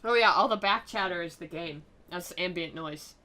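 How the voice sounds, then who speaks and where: Bright-voiced woman, sarcastic game commentary. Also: on the low side, normal speed. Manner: mocking, theatrical, often breaking into giggles. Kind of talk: sarcastic playthrough commentary with dramatic reading.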